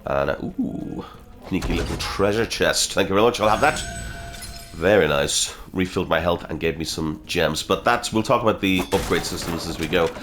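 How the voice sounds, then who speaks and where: A man's voice: low voice, normal speed, a bit bright, soft background music. A man with a slight cold giving chatty, casual gameplay commentary, swearing and reacting aloud as he fights. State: nursing a cold, a bit sniffly. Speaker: gaming video host.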